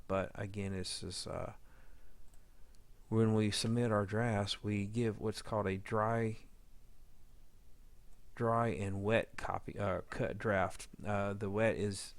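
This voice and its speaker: A man giving an informal audio editing walkthrough, halting and rambling as he thinks aloud. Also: on the low side. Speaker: audio drama editor giving a demo. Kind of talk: informal software demo walkthrough.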